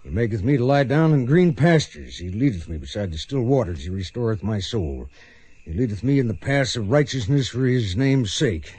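A man's voice: medium pitch, sing-song, normal speed, dark tone, breathy edge, no echo. An actor playing a steadfast, protective frontier father in a radio western, plain-spoken and earnest. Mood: solemn. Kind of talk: radio western drama acting.

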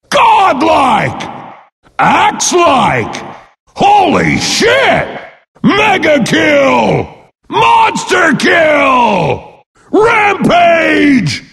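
A boastful brute warrior announces a video game in a high, gravelly bellow. He is pompous and brash, swinging wildly in pitch, with a long reverb tail on his voice. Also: fairly bright tone, full character voice. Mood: triumphant. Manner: pompous, speaks of himself in third person.

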